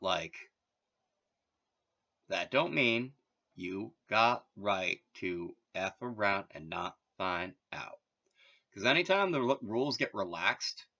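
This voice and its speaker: A man's voice: lowish voice, bright, forceful tone, muffled recording. A man from Massachusetts talking in a rambling, casual monologue, full of filler words and wry asides. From Massachusetts, USA. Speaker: vlogger.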